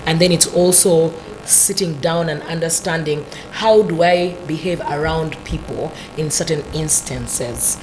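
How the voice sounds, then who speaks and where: A Ugandan woman with a deep, low voice talks through her ideas on a talk show, measured and explanatory. She keeps adding "you know" as she thinks aloud. A clear hum of noise sits under her voice. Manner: thoughtful, explanatory, many "you know" asides. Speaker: guest.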